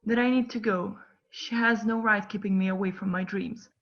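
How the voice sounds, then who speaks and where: A woman's voice: medium pitch, fairly even intonation, normal speed, close to an everyday voice. A woman reading the part of an anxious, stubborn teenage girl in an amateur script table read. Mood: exasperated. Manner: defiant, exasperated. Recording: dull lo-fi recording.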